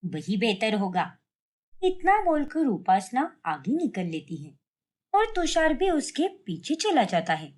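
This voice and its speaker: A woman's voice: high voice, wildly swooping pitch, measured pace, a little mellow. A woman reading scripted narration for a cartoon family story.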